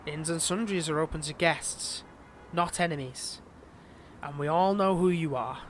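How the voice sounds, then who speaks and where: Guy doing a smug possessive fantasy villain, highish voice, taunting, noisy outdoor background, roleplay audio. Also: normal speed, lightly acted. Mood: smug, threatening. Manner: taunting, theatrical. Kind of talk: roleplay audio drama acting.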